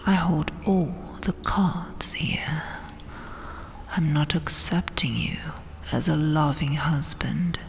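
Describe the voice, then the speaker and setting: Woman as a whispering, creaky, domineering vampire queen. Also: deep voice, heavily acted. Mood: menacing, commanding. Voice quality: breathy whisper. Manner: taunting, mock-sweet, haughty, drawn-out delivery. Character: domineering, gloating vampire queen, regal conqueror. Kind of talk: whispered ASMR roleplay. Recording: long cavernous reverb, faint hiss. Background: dark tomb ambience.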